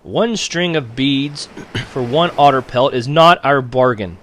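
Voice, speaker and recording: A man's voice: mid-range voice, takes it slowly, a bit bright, a little hiss or hum, pitched above the performer's own voice. Man reading as a firm, proud island father. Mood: firm, insistent. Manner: firm, declarative, formal.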